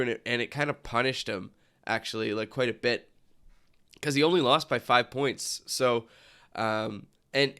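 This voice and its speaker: A man's voice: mid-range voice, a bit bright, clean tone. A young man with a cold and a stuffy nose, hosting a casual sports podcast and rambling with many fillers and restarts. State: congested from a cold. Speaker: podcast host.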